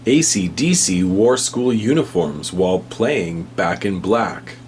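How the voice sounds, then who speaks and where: A man reading a children's picture book aloud as a storybook reader, with deliberate, measured phrasing. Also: sits low, expressive, a little mellow. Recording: hum and faint background noise.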